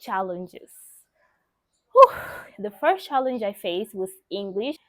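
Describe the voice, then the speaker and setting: A woman's voice: medium pitch, expressive, slow, a bit bright, no echo. A young woman from Mozambique with a Mozambican accent and Portuguese as her native language, telling personal stories casually to viewers in a candid, emphatic way. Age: young adult. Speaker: vlogger.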